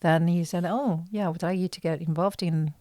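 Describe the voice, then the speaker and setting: A woman's voice: on the low side, steady tone, brisk, warm tone, dry recording. A woman of around forty from China, with a Chinese accent, answering interview questions in a modest, hesitant, casual way. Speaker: guest, actress and writer.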